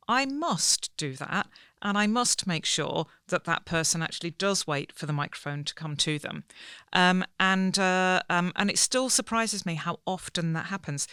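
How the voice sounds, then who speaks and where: Bright, crisp-voiced woman guest. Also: lowish voice, no room sound, clean studio sound. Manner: sincere, measured, hesitant fillers between points. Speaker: podcast guest, senior academic. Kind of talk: conversational podcast discussion.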